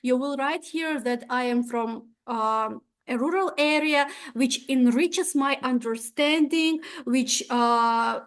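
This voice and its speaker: High-voiced young woman from Tajikistan. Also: takes it slowly, hardly any pauses. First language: Russian. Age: young adult. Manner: explains step by step, frequent "uh" and "right?" checks. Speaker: presenter of an exchange program application. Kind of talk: instructional walkthrough presentation.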